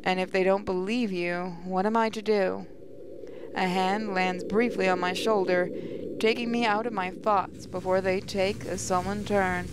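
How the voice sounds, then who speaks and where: A woman's voice: medium pitch, fairly even intonation, measured pace, noisy background. A woman gaming host reading visual novel narration aloud, stumbling over the odd word as she goes. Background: prominent music.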